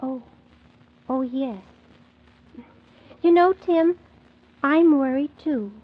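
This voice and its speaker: An actress playing a kindly, worried, motherly hostess in a 1940s children's radio play, gentle and hesitant. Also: sits high, drawn-out words. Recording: muffled, narrow 1940s radio recording.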